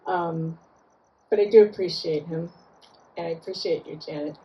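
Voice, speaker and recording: A woman's voice: fairly low pitch, moderate pace. A woman giving an informal, conversational tribute to a friend, dotted with "you know.". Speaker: memorial speaker, friend of the deceased. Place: outdoor garden gathering. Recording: dull, lo-fi audio.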